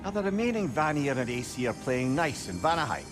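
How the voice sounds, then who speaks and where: A man's voice: mid-range voice, very animated, brisk, natural voice. A man playing a witty, tender old sage in a video game, with a Scottish accent and courtly, old-fashioned, talkative phrasing. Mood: chatty, courtly. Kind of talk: video game cinematic acting. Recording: game music behind the voice.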